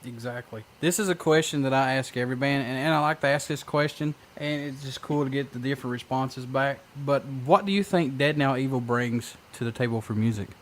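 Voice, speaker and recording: A man's voice: mid-range voice, a little fast, few pauses. A man in his thirties hosting an interview in casual chat, laid-back and chatty. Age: 30s. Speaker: radio show host.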